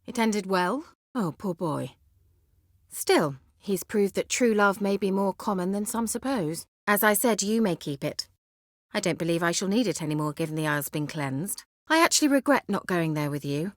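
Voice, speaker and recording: An actress voicing a haughty, witty, sly sorceress in a video game, with an arch, formal and sardonic way of talking. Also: female voice, medium pitch, lively intonation, moderate pace, dry recording, clean studio sound, lightly acted. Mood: wry, composed. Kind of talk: video game character dialogue.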